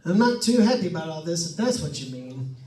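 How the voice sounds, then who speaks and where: A man playing a nervous, halting, excitable reporter on a ghost hunt in an old-time radio suspense drama. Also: a little high. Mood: uneasy. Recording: roomy, slightly distant stage pickup.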